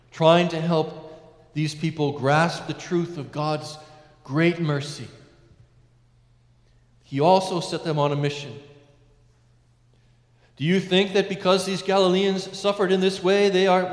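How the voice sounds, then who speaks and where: A middle-aged man, a priest, preaching a sermon from a lectern in a large church, measured with emphatic rises and hushed endings. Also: high voice, fairly even intonation. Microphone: lectern mic, far camera. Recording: echoey church with long reverb.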